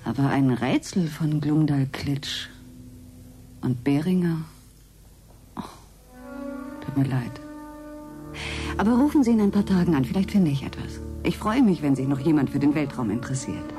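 An actress playing a helpful, dreamy cosmologist in a German radio drama, in a musing, explanatory manner. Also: female voice, low-pitched, expressive, normal speed, pauses often, over music. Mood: apologetic, eager. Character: helpful, dreamy woman cosmologist, absorbed in space.

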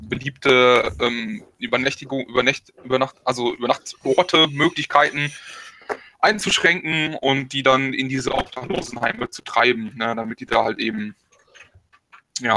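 A man's voice: mid-range voice, sharp tone, slight background noise. A man in a casual satirical group discussion, often stammering and repeating words as he builds his point. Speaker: panelist on a live talk show.

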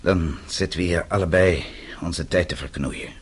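An actor in a radio play voicing a bitter, defiant exiled banker who speaks with scornful, accusing emphasis. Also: male voice, low voice, fairly even intonation, moderate pace, husky. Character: defiant, bitter exiled male banker, suspected political dissident.

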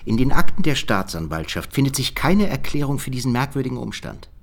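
An actor playing a wary, sceptical cold-case investigator in a naturalistic radio drama, questioning in a pensive, dry manner. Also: male voice, medium pitch, sing-song, fairly quick, vocal fry.

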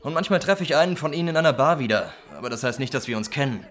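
An actor playing a big, evasive young suspect in a radio crime drama, sounding defensive and insolent. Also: male voice, medium pitch, animated, very fast, fairly bright tone, natural voice. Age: young adult.

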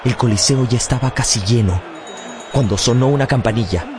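An actor narrating a radio drama as a man solemnly recounting a boyhood memory in measured, literary phrasing. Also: medium pitch, lightly acted. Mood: solemn, suspenseful. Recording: loud music bed behind studio voice.

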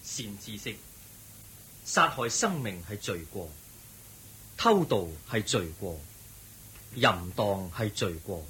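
The buddha in a radio play, calm sage preaching real slow and solemn, lowish voice that lifts and falls a lot. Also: male voice, husky, full character voice. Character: the Buddha, serene male sage teaching a crowd. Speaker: religious teacher in a radio drama. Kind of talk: Cantonese radio drama acting.

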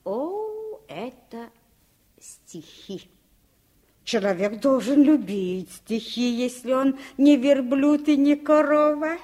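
An expressive French governess in a radio play, speaking unhurriedly in a high, husky voice. She is kindly but strict, lecturing playfully with theatrical exclamations. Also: slow pace, full character voice. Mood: playful, gracious. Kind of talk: Soviet radio play acting.

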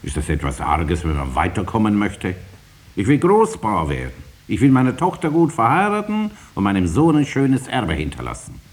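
A man's voice: fairly low pitch, wildly swooping pitch, normal speed, breathy edge, exaggerated voice. A man playing a stubborn, money-hungry farmer in a 1950s radio play, plain-spoken, hesitant and defensive. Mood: defiant. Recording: noisy vintage 1950s radio recording.

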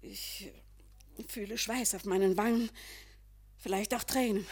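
An actress playing a weary, fearful, brooding lady in a poetic radio play, with a dramatic, halting delivery. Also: mid-range voice, fairly even intonation. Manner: dramatic, halting, drifting into breathy hush. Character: weary, fearful, brooding lady sensing sudden old age.

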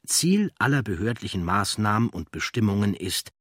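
A man's voice: fairly low pitch, big pitch swings, normal speed, a bit bright, breathy edge, no room sound, clean studio sound. A man reading scripted narration for a documentary audiobook.

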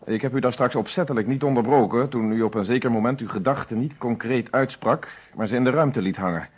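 An actor playing a stern, probing male police inspector in an old Dutch radio detective play, speaking formally and with measured authority. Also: mid-range voice, a little mellow, breathy edge, natural voice. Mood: admonishing. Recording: dull lo-fi old radio recording.

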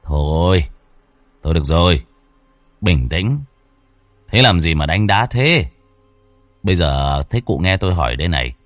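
A man voicing a calm ritual master who settles the spirit and questions it in a brisk, matter-of-fact way. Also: deep voice, no echo, voice pushed down.

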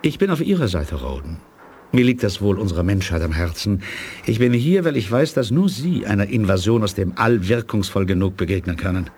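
An actor playing a shrewd, self-assured colonel in a 1980s German radio play, talking in a deliberate, knowing manner. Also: male voice, on the low side, very animated, darkish tone, a little hiss or hum. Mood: earnest, persuasive.

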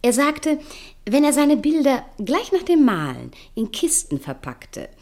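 Sardonic woman with very lively intonation. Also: high voice, measured pace, full character voice. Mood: cool, sardonic. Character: Solange, cool sardonic Parisian woman, secretly tender. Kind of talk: German public radio play dialogue.

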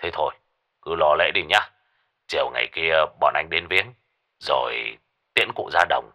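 A man voicing a coarse, joking middle-aged man with a gruff manner in a spoken story. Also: very deep voice, moderate pace, bright, forceful tone, voice pushed down, full character voice.

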